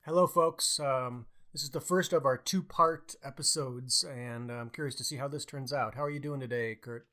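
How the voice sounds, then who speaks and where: Male podcast co-host, medium pitch, casual literary chat. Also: fairly even intonation, moderate pace, hardly any pauses, pushed and bright.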